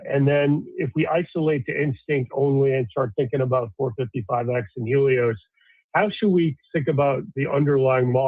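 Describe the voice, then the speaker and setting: A man's voice: medium pitch, no echo. A man speaking as a financial analyst, putting questions to company executives on an earnings call. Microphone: phone call. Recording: dry, narrow phone line.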